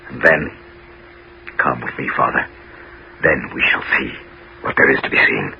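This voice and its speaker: An actor playing a commanding middle-aged warrior king in a 1970s radio drama, with deliberate, measured dramatic phrasing. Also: very deep voice, gravelly. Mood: resolute. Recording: muffled, very noisy 1970s radio recording.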